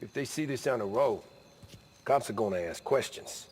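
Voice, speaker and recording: An actor playing a casual, streetwise American driver in a gangster game, laid-back and direct. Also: male voice, medium pitch, lively intonation, normal speed, darkish tone, close to an everyday voice. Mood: businesslike. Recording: long hollow reverb.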